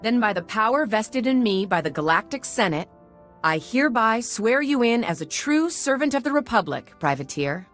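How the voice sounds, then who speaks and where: An actress playing a shrewd, commanding senator with a formal, authoritative manner in a video game. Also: female voice, on the high side, very animated, normal speed, a bit bright, faint music behind. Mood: formal, official.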